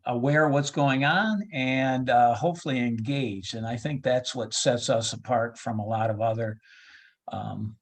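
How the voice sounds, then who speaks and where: A man who works as a baseball PA announcer, chatting casually as an interview guest, often halting as he thinks aloud. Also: medium pitch.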